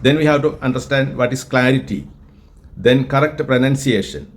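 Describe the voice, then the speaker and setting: A man from Kerala, India, with a Malayalam-influenced Indian accent, teaching English in an earnest, deliberate way and often repeating himself. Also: medium pitch, a little hiss or hum. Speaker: English tutor and group organizer. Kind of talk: spoken English lesson with an AI chatbot.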